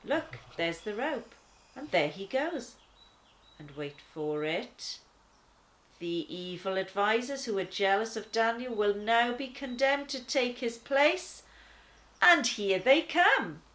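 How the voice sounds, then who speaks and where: Woman voicing a patient angel, slow and lilting. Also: fairly high pitch, animated, fairly bright tone, pitched above the performer's own voice. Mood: courteous, reassuring. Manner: measured explaining, reassuring. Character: calm, patient, courteous guardian angel. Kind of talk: children's storybook character voice.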